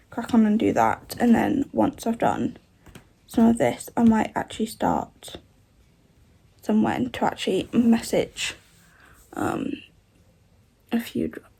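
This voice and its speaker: A twenty-year-old woman from the UK, chatting casually in a vlog while she has a cold. Her voice sounds rough and odd, and she rambles with lots of fillers. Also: a little high, warm tone, poor audio. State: congested with a cold. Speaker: vlogger.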